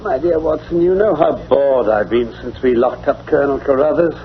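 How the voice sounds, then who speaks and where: An actor playing a keen, commanding English gentleman detective in an old-time radio drama, crisp and wry. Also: medium pitch, normal speed, natural voice. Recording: dull lo-fi old radio recording.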